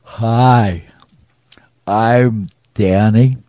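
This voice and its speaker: Dark-toned, stuttering goofy dog. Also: male voice, on the low side, fairly even intonation, drawn-out words, dry recording, faint music behind. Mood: eager to please. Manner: heavily stuttering, dopey. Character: goofy, dim, eager male talking dog. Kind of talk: comic radio play acting.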